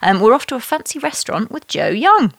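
A woman's voice: fairly high pitch, big pitch swings, a little fast, creaky, noisy background. A woman hosting a comedy sketch show, chatting casually and jokily between sketches. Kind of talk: casual, jokey show chat and introductions.

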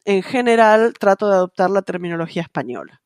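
A woman's voice: medium pitch, animated, fast talker, darkish tone, no room sound, studio quality. A middle-aged Argentine woman, a translator and interpreter, talking through an interview at a thoughtful pace with many fillers, over a video call. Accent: Argentine Spanish, softened by years abroad. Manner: thoughtful, many hesitations and fillers, light laughs. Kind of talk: casual interview conversation.

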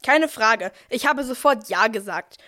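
An eager messenger angel, voiced by a woman, with a fairly high voice. The figure speaks to the listener in plain, friendly words, narrating a nativity radio play. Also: expressive, a little slow, pushed and bright, faint music behind.

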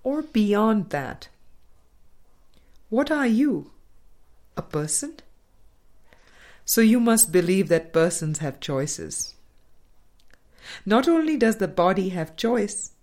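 Slow, deliberate woman narrator. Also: mid-range voice, a little mellow. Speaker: audiobook reader. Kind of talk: scripted spiritual book narration, reading a dialogue.

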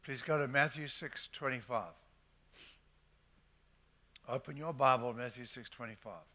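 Older male pastor, emphatic sermon voice, unhurried pace. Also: medium pitch, bright, forceful tone. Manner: emphatic preaching, slows to stress scripture phrases. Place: church.

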